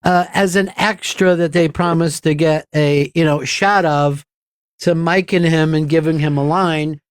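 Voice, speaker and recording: A man hosting a radio talk show, telling a rambling story with halting restarts into a studio microphone. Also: on the high side, steady tone, smooth, no echo. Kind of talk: casual radio chat.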